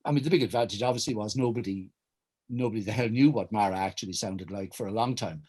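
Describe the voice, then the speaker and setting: A man's voice: on the low side, quick pace, a little mellow, breathy edge. A middle-aged Irish man reminiscing in conversation, articulate and wordy, often stammering and restarting as he searches for the exact phrase. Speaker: writer and director, panel guest. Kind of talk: reflective conversation recounting memories.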